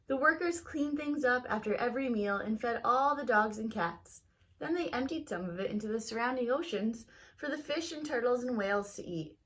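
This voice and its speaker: A woman with a fairly high voice reads a picture book to children. Her delivery is expressive and teacherly, leaning on key words and drawing some of them out for young listeners. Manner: talks directly to young listeners. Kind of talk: expressive children's storybook read-aloud.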